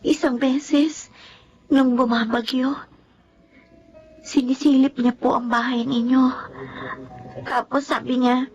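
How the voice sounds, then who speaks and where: An actress playing a gentle, respectful young woman grieving her mother in a radio drama, speaking politely and sincerely. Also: high-pitched, gravelly, dull audio, exaggerated voice. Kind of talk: emotional Filipino radio drama acting. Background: music.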